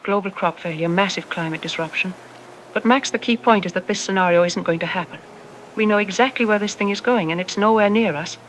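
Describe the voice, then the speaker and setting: A woman playing a calm, reassuring scientist who explains things in a measured way, as an expert guest in an audio drama. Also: medium pitch, a little hiss or hum, natural voice, rough recording.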